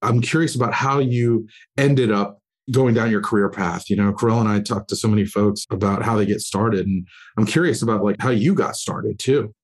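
Husky low-voiced male podcast host. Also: moderate pace. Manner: friendly, enthusiastic, reads sponsor copy. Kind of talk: conversational podcast hosting.

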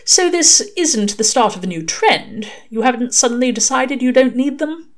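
Storybook angel, played by a woman: male, prim, earnest, fussy diction, pitched up, tender and a little hesitant. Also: lively intonation, normal speed. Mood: fussy, curious. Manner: formal, fussy phrasing, hesitant. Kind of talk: audiobook character dialogue.

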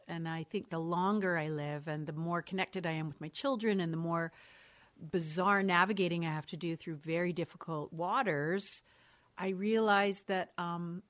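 A middle-aged woman, a mother of three, talking candidly and thoughtfully as an interview guest, often restarting her sentences. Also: medium pitch. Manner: candid, thoughtful, frequent self-corrections and laughs. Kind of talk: reflective conversational interview.

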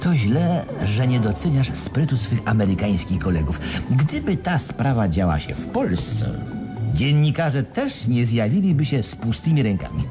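Shrewd, confident swindler; lively intonation, patiently explanatory, radio drama, music behind. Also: male voice, medium pitch, unhurried. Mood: smug, explaining. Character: shrewd, self-satisfied male schemer explaining his swindle. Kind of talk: Polish radio play acting.

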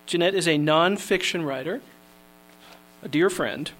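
Halting man hosting a reading. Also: a little high, fairly bright tone, poor audio. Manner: halting, lots of fillers. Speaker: host of a reading series. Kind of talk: introducing readers.

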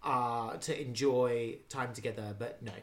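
A man's voice: medium pitch, steady tone, a little slow. A man of about thirty in a casual chat, wry and emphatic, repeating himself as he thinks aloud.

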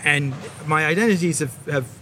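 A man's voice: a little high, normal speed, sharp tone, a little hiss or hum. A man from California working as an interviewer, talking casually and thoughtfully while searching for his words. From California, United States. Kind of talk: casual, reflective conversation.

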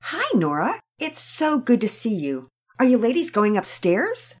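A woman's voice: fairly high pitch, wildly swooping pitch, measured pace, husky, voice pushed up, heavily acted. A woman voicing a gracious, playful mother in a children's audio story. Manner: warm, teasing.

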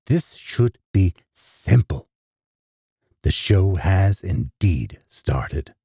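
A man giving a theatrical first-person horror narration with a gravelly, husky voice, dropping into hushed asides. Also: deep voice, big pitch swings, drawn-out words, no room sound, clean studio sound. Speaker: storyteller.

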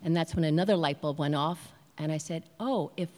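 A woman's voice: deep voice, a little fast, darkish tone. An American woman film producer telling her story on a panel, reflective and earnest. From United States. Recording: reverberant hall.

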